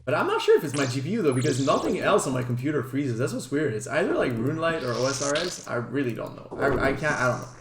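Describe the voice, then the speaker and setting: A man's voice: medium pitch, sing-song, quick pace, hardly any pauses, a crowd in the background. A man whose first language is French gives casual gameplay guide commentary as a streamer, chatting informally. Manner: informal, chatty, occasional swearing. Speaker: gaming streamer. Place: indoors at a computer. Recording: roomy echo, faint background noise.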